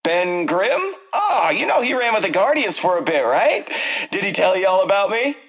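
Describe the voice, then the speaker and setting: An actor voicing a cocky, eager space pilot in a video game, boastful and chatty. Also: male voice, high-pitched, sing-song, moderate pace, a bit bright, exaggerated voice. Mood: eager, bragging.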